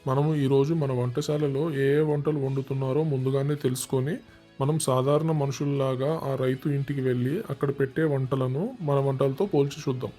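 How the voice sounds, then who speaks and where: An actor playing a proud king in a cartoon, speaking in a formal, measured way. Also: medium pitch, flat delivery, dark tone, lightly acted. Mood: curious, deliberate. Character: proud adult king, decisive, later remorseful. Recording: music under the voice.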